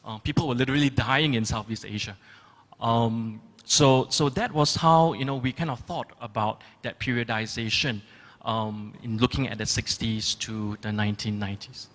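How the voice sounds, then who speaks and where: A man's voice: mid-range voice, steady tone, normal speed, fairly bright tone. A man curator answering audience questions at a panel, thoughtful and hesitant with frequent ums. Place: large gallery auditorium. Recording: long reverb of a large hall.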